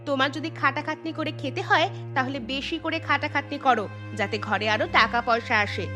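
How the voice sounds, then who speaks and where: High-pitched nagging village wife, acted by a woman. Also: fairly quick, music in the background, natural voice. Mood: angry, demanding. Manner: scolding, insistent. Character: nagging, demanding village wife, short-tempered and scornful. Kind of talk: Bengali cartoon dubbing.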